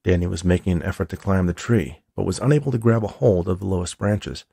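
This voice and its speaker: A man's voice: deep voice, quick pace, creaky, warm tone, breathy edge, no room sound, clean studio sound. A man who is an author, narrating a chapter of his own story from the page. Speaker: author reading own book.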